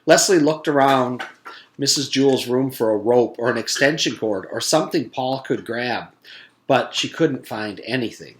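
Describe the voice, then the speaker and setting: A man's voice: medium pitch, moderate pace. A man, a teacher, reading a storybook aloud.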